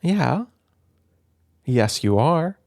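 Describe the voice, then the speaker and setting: A man speaking in a gentle, doting, playful way, as in a soft ASMR roleplay where a caring big brother reads a children's book aloud. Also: a little high, big pitch swings, drawn-out words, gravelly, no room sound, clean studio sound. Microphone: close microphone, dry room.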